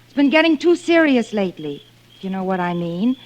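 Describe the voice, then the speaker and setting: A woman's voice: medium pitch, very animated, fast talker, close to an everyday voice. An actress playing an anxious, forgetful young working woman, fretting and questioning herself in an old radio thriller. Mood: defensive. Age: young adult. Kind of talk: 1940s-50s radio thriller acting, inner monologue and dialogue. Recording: old radio drama, music underneath.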